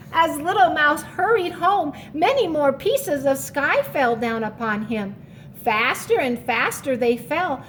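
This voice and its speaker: Female storyteller for children: very high, lively pitch, unhurried, expressive, faint background rumble. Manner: animated, explains the story in asides. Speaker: story reader. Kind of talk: expressive children's read-aloud.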